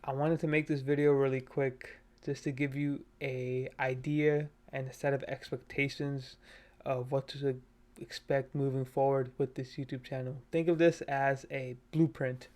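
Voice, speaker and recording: A man's voice: medium pitch, a little mellow. A man in his twenties, from Nepal, talking earnestly and casually on a self-improvement vlog from his bedroom on a cheap desk mic. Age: 20s. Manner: earnest, self-motivational, loose with fillers. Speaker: vlogger. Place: bedroom at his parents' house. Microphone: cheap USB desk mic.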